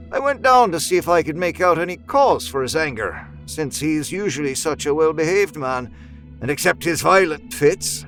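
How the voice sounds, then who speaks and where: An actor playing an earnest Victorian doctor, narrating a formal letter in an audio drama with careful storytelling. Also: male voice, sits high, fairly bright tone.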